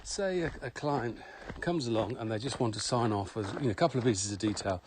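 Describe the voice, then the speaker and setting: Man, mid-pitched voice, casual podcast co-host, emphatic, opinionated, noisy clicky recording. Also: brisk. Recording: clearly noisy background with clicks.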